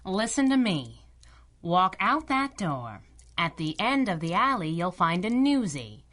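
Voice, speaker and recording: A brash masked crimefighting sidekick in a vintage-style radio adventure. Her voice is bright and forceful, swooping with animated sarcasm. She tosses off slangy wisecracks like a tough 1930s dame. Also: fairly low pitch, unhurried. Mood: brisk, commanding. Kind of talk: pulp radio drama acting in a 1930s manner.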